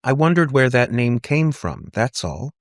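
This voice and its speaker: A man's voice: mid-range voice, sing-song, a little slow, no room sound, pitched above the performer's own voice. A man acts out an anxious, conciliatory aunt for an audiobook. She speaks tentatively, eager to connect, lifted above the narrating voice and recorded close and dry. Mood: cautious. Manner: tentative, placating. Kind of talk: audiobook character dialogue.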